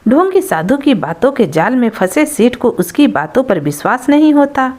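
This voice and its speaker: High-pitched, animated woman storyteller. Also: normal speed. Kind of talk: scripted moral folktale narration.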